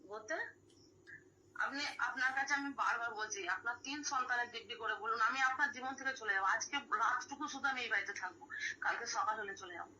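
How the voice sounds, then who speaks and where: A woman's voice: a little high, fairly quick, pushed and bright, a little hiss or hum. A woman pleading insistently and repeating herself in an emotional argument on a phone call recording. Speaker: caller confronting another woman.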